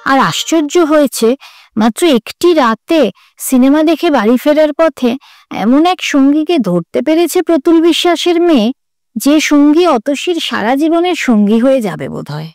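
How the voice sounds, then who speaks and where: A woman reading a literary story aloud in a dramatic, expressive narrator's style. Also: high voice, dry recording. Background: music.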